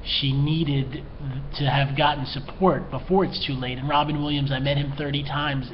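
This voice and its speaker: Earnest, slightly tearful man, dull lo-fi sound. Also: medium pitch. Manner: earnest, heartfelt, pleading. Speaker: mental health educator, therapist and coach. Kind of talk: earnest personal talk to camera. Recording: dull lo-fi sound, faint background noise.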